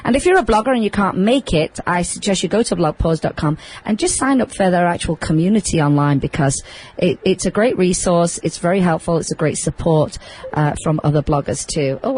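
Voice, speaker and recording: A middle-aged woman hosting a pet talk show, loud and chatty, laughing often. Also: fairly low pitch, moderate pace, a little hiss or hum, poor audio. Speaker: podcast host. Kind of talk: casual chat.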